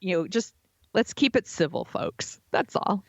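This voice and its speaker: A woman's voice: medium pitch, big pitch swings, unhurried, creaky, no room sound. An American woman co-hosting a podcast in casual, slangy chat peppered with "like". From United States. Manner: informal, slangy, filler "like". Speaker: podcast co-host. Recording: dull, lo-fi microphone sound.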